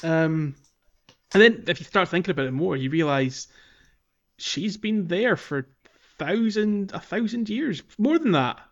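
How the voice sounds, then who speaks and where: A man's voice: sits high, expressive, unhurried. Slightly muffled man, casual podcast host. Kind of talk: casual game discussion. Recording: slightly dull, muffled audio.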